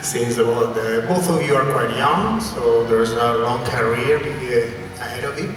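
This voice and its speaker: A male journalist asking a question at a press conference with halting phrasing. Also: medium pitch. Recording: echoey hall, far from the mic, background hum.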